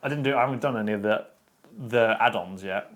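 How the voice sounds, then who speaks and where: A man's voice: on the low side, quick pace, fairly bright tone. A man co-hosting a casual book discussion, speaking in a blunt, opinionated way. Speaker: book discussion show co-host. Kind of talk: casual opinionated chat about books.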